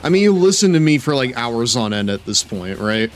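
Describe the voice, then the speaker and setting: A man chatting casually with his chat while playing a wrestling game on stream, laid-back and drawling, and given to sudden excited shouts. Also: mid-range voice, fairly quick, soft background music.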